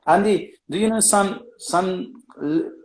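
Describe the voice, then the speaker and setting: A man's voice: sits high, slow, echoey room, poor audio. A man from Argentina with an Argentine accent, a native Spanish speaker, hosting a casual live interview and stopping often to search for English words.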